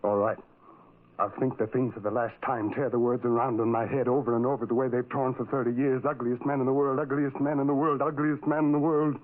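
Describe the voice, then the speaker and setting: A man's voice: medium pitch, flat delivery, a little fast, lightly acted. An actor playing a tormented, self-loathing lonely man in a radio drama monologue, brooding and repeating phrases in a rhythmic chant. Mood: desperate. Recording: tinny, narrow-band old radio recording.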